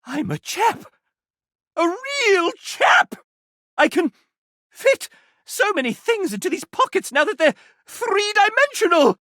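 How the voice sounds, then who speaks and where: Wildly swooping, sassy game mascot. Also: male voice, very high-pitched, very animated, takes it slowly, pushed and bright, no echo, studio quality, heavily acted. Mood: giddy, excited. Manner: theatrical, cheeky, flirtatious delivery. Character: sassy, randy, flamboyant male game mascot, smug and theatrical. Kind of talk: video game character voice acting.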